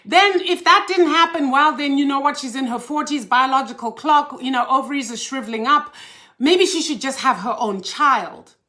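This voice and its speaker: A woman's voice: sits high, moderate pace, sharp tone. A woman from Zimbabwe, a life coach hosting a casual live stream and chatting animatedly with her viewers, laughing easily. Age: older.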